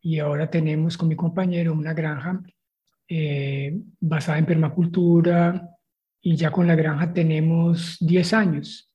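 A man's voice: a little high, flat delivery, a little slow, mellow, breathy edge. A middle-aged man with a Colombian accent, introducing himself conversationally as an interview guest. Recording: dull lo-fi video call audio.

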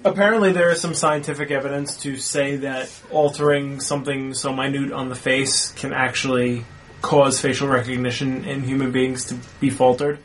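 A man's voice: mid-range voice, fairly even intonation, normal speed, slight background noise, poor audio. A man chatting casually as a panelist in a film discussion, with a wry, teasing way of talking. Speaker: panelist on a movie discussion show. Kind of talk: casual group chat.

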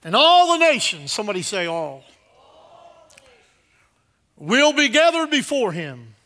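A male preacher with a high, forceful voice delivers a sermon to his congregation. He leans on repeated phrases for emphasis. His delivery moves between quieter asides and loud, urgent declarations. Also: very animated, measured pace.

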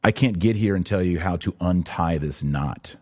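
A man's voice: low-pitched, normal speed, a little mellow, no room sound, clean studio sound. A man hosting a podcast, giving emphatic live commentary and answering viewers, often repeating short phrases for stress. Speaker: podcast host and religious commentator.

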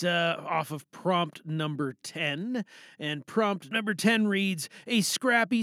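A man's voice: high-pitched, a bit bright, no echo, clean studio sound. A man hosting a podcast in a casual, chatty style with theatrical announcing and self-deprecating asides. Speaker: podcast host. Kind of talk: casual chatty show introduction. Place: home recording booth.

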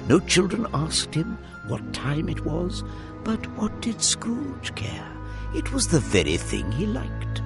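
An actor as the narrator of a radio drama, a man telling the story in a deliberate, wry way. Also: medium pitch, vocal fry. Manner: deliberate, theatrical.

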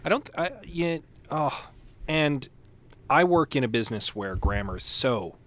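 A man with an average-pitched voice co-hosts a podcast. His delivery is casual and conversational, like someone chatting across a table. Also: animated, moderate pace. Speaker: podcast co-host. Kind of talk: casual chat.

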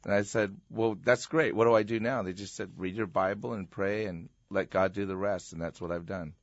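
A middle-aged American pastor sharing his story in a relaxed, chuckling conversational way. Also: lowish voice, fairly quick, no room sound. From USA. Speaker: pastor, interview guest. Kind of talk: personal storytelling. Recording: muffled, dry radio studio sound.

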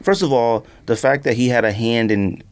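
A man's voice: medium pitch, lively intonation, normal speed. A man co-hosting a sports and sneaker podcast, speaking in a casual, slangy, opinionated way and ready to argue his point. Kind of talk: casual banter and debate.

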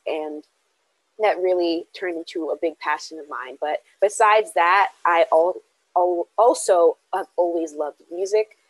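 Earnest low-voiced young woman. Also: no room sound, low-quality recording. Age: young adult. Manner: earnest, with frequent ums and restarts. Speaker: interview guest. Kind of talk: casual interview answers.

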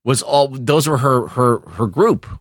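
A man with a mid-pitched voice in a very dry recording. He explains things casually as a co-host, opinionated and blunt, wandering a bit as he goes. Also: animated, unhurried, slightly rough, no echo. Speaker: podcast co-host. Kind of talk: casual explanatory pop culture chat.

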